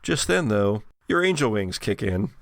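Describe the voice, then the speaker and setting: A man narrating an improvised tabletop horror game as game master, with deliberate, hesitant phrasing. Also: fairly low pitch, big pitch swings, vocal fry, a bit bright, a little hiss or hum.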